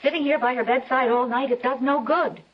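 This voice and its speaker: An actress playing a cool, calculating female accomplice in old-time radio suspense, with a husky voice. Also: sits high, steady tone, a little fast. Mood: concerned. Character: cool, calculating woman accomplice with hidden motives. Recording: muffled radio drama recording.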